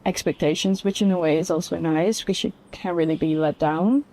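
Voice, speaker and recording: A woman's voice: lowish voice, fairly even intonation, a little fast, warm tone, low-quality recording. A woman in her twenties from the Netherlands with a Dutch accent, chatting informally as an interview guest and peppering her talk with "like". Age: 20s. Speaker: podcast guest, fashion model. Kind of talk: casual interview chat.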